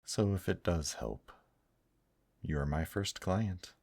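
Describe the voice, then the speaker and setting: A man voicing a gentle, teasing male demon in an intimate ASMR roleplay, speaking softly and courteously to the listener. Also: deep voice, dry recording, clean studio sound, heavily acted. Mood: soft, honored. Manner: slow, soft, courteous, affectionate teasing with endearments. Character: gentle, teasing male demon brothel worker, tender and attentive.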